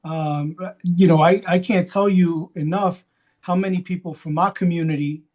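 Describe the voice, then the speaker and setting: A man from Queens, New York, speaking off the cuff as a panelist in a reflective, halting way, punctuated by checks for agreement. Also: high-pitched, fairly even intonation, a little mellow. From Queens, New York, USA. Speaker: panelist, community organizer. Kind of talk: unscripted panel talk.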